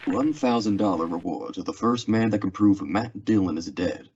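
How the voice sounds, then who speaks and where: A man's voice: sits low, normal speed, a little mellow, husky. A man acting a weary, stoic frontier marshal in an old-time radio western drama. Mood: calm, stoic. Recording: dull, lo-fi audio.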